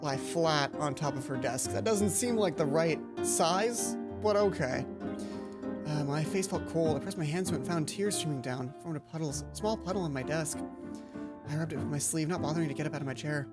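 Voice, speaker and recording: A woman's voice: low voice, normal speed. A woman reading a visual novel aloud as a let's play host, adding her own asides. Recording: background game music. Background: music from the game.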